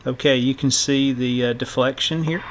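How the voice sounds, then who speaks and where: A middle-aged man giving a casual electronics tutorial in a halting, thinking-aloud way, as the host of a repair video. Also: mid-range voice, steady tone. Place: indoor workbench. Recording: clear background noise.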